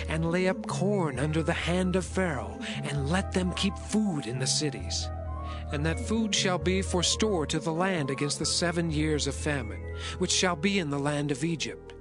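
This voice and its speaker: Man acting earnest, high-voiced young prophet. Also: moderate pace, lightly acted. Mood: solemn, assured. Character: earnest, assured young Hebrew dream interpreter. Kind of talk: dramatized scripture reading. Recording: music behind the voice.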